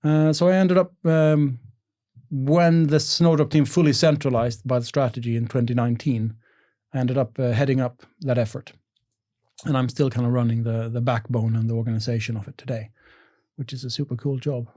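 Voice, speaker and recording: A man of middle pitch chatting as a podcast guest. He tells his story with keen, upbeat enthusiasm, often rising with excitement. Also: moderate pace. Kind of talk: casual interview chat.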